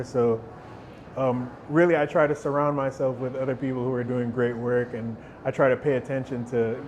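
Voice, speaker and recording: Young man, mid-range voice, thoughtful and relaxed, faint background hum. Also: medium pitch. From Jamaica. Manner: relaxed, thoughtful, restarts words often. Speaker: musician interviewee. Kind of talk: casual interview answers. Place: outdoor stage.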